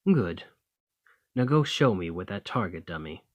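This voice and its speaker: A man's voice: deep voice, big pitch swings, a little slow, no echo, lo-fi sound, heavily acted. A man playing a dominant, haughty fantasy thane in an ASMR roleplay, commanding and formal with a condescending, teasing edge. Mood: instructive, teasing. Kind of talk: ASMR roleplay acting, slow and intimate.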